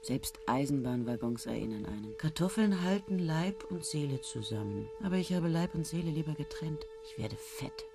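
Low-voiced woman narrating a radio play. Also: animated, normal speed, over music. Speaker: first-person narrator.

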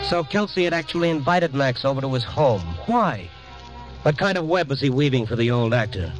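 A man's voice: mid-range voice, animated, brisk, close to an everyday voice, rough recording. An American man playing a wry, dogged newspaper reporter in a 1950s radio drama, sardonic and hard-boiled. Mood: tense narration. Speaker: lead actor and first-person narrator. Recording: old radio recording with music.

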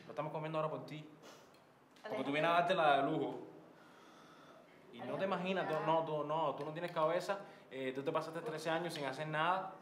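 A man's voice: on the high side, reverberant, heavy background noise. A young man accusing his brother heatedly at a family dinner. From Cuba. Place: family home dining room.

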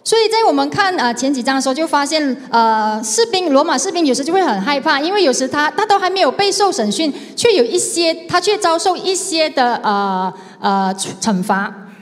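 A woman's voice: high voice, big pitch swings, brisk. A woman in her thirties with a Malaysian Mandarin accent, interpreting a church sermon phrase by phrase into a handheld mic. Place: large church hall, indoors, on stage. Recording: echoey hall with long reverb.

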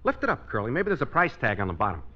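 Mischievous, very animated radio sidekick. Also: male voice, medium pitch, rapid-fire. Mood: playful. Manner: wisecracking, mock-serious comic delivery. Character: mischievous, wisecracking sidekick, troublemaking pal. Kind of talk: 1950s radio comedy acting. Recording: very noisy, muffled 1950s radio recording.

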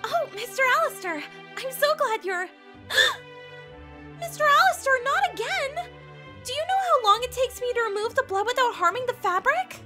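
A woman's voice: extremely high pitch, very animated, normal speed, sharp tone, faint music behind, heavily acted. An actress playing a sweet, fretful young housekeeper in a comic dub, with a girlish, earnest and proper manner. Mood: fretful, caring.

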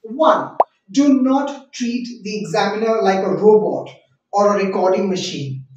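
A man's voice: high voice, unhurried, highs cut off. A man in his thirties with an Indian English accent, coaching students for a speaking test in a conversational style with rhetorical questions. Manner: conversational, animated hand gestures, rhetorical questions. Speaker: IELTS tutor. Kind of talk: direct-to-camera teaching. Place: small indoor room. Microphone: lapel mic. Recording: very echoey room, mic at a distance.

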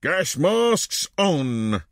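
A man playing a strict wartime official in a storybook, giving crisp orders to children. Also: mid-range voice, sing-song, a little slow, dry recording, clean studio sound. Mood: ordering.

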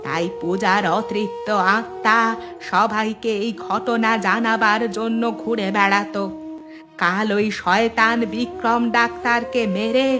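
A woman's voice: fairly high pitch, steady tone, over music, close to an everyday voice. A woman voicing an outspoken, indignant village mother in a scripted cartoon, speaking emphatically. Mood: stern, revealing. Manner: emphatic, slow, scolding.

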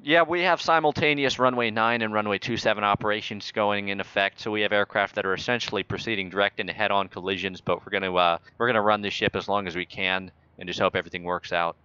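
A man's voice: on the low side, steady tone, fast, few pauses, pushed and bright, clear, resonant tone, no echo, highs cut off. A man talking as an air traffic controller in a flight simulator game, joking in mock-official radio phrasing.

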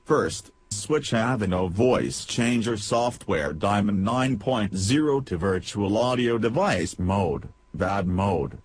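Slow, husky male tutorial narrator. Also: on the low side, expressive. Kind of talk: scripted step-by-step narration.

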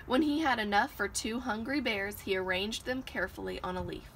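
A woman giving an expressive read-aloud of a children's picture book, recorded outdoors on a porch. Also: mid-range voice, brisk. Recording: noisy outdoor home recording.

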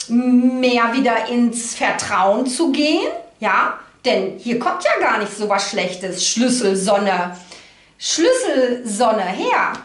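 A middle-aged woman giving an informal card reading to viewers, conversational and reassuring, often checking in with little tag questions. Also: on the high side. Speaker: card reader, host. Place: indoor room, at a card table. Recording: echoey room sound.

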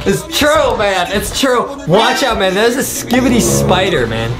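A young American man hosts a gaming video with a highly animated voice that jumps around in pitch. He is playful and slangy and repeats himself excitedly. Music plays behind him. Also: unhurried. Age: young adult. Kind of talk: excitable reaction commentary for children.

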